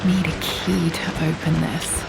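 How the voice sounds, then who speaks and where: Young British woman, pensive game heroine, low creaky voice, music behind. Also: little pitch movement, a little slow, full character voice, poor audio. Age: young adult. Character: young female explorer, pensive, searching her family manor. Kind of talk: video game acting, musing to herself.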